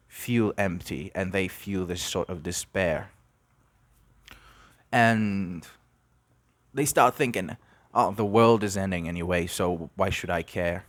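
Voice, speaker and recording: A young man from Brazil hosting an unscripted podcast, musing and halting, with many restarts. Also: deep voice, no echo. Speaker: podcast host. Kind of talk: unscripted reflective monologue.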